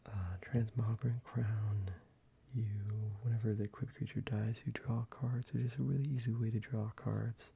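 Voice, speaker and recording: A young man talking softly in a half whisper, casual and rambling, as an ASMR creator. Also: fairly low pitch, steady tone, normal speed, creaky, slightly rough. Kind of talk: soft-spoken ASMR show-and-tell. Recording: slightly muffled, with faint ambient noise.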